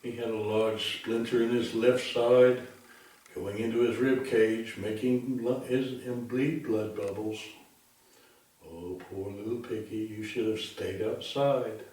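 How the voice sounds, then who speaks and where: A man telling a darkly comic bedtime story in a deliberate, theatrical, mock-sympathetic way. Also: on the low side, fairly even intonation, a little mellow. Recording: very echoey room, distant microphone.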